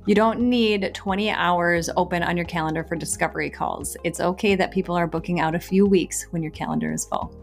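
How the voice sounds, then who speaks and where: A woman co-hosting a business podcast, reading quick tips in a calm, soothing, matter-of-fact way. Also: on the low side, vocal fry, faint music behind. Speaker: podcast co-host. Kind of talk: rapid-fire podcast tips.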